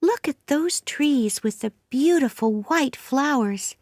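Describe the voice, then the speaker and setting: A woman's voice: sits high, lively intonation, slow, a little mellow, dry recording, clean studio sound, pitched above the performer's own voice. A woman voicing a chatty, dreamy orphan girl of about eleven who talks in gushing, dramatic bursts.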